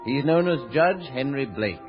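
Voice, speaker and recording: A man's voice: medium pitch, expressive, unhurried. A man narrating a scripted 1930s radio drama as its presenter. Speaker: narrator and guest producer. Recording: muffled old radio recording. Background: music.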